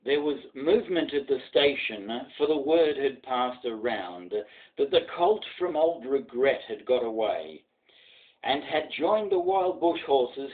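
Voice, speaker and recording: A man's voice: mid-range voice, narrow, tinny audio. A man with an Australian accent giving a dramatic recitation of poetry. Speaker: poetry reader.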